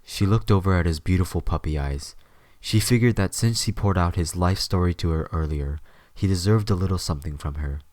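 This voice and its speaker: Male narrator, very low voice, scripted storytelling, dry close sound. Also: brisk, darkish tone, no echo.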